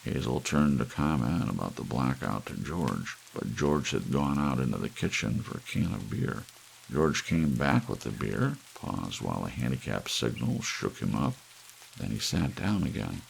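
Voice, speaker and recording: A man narrating a scripted story as an ASMR audiobook, with soft rain behind him. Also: a bass voice, big pitch swings, moderate pace, vocal fry, rough recording. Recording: faint hiss with rain sound.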